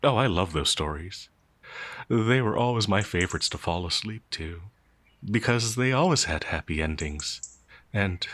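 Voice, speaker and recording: A man's voice: low-pitched, fairly bright tone, dry recording. A man playing a timid, innocent werewolf farmhand in an audio roleplay, speaking in a hesitant, stammering, naively earnest way. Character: timid, gentle, innocent male werewolf farmhand, older than he appears. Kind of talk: audio roleplay character acting.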